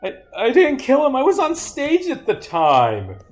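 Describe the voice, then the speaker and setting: A man's voice: very high voice, wildly swooping pitch, a little slow, faint music behind, pitched above the performer's own voice, heavily acted. A man voicing a defensive, flustered woman suspect from a visual novel, protesting dramatically. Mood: alarmed, defensive. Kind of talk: acting out visual novel dialogue.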